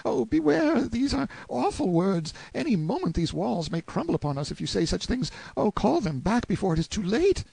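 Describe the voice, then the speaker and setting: A man's voice: on the high side, huge pitch swings, normal speed, darkish tone, husky, no echo, voice pushed up, exaggerated voice. A man voicing a timid, superstitious medieval page boy with archaic, pleading speech in an audiobook. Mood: terrified pleading. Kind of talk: audiobook character acting.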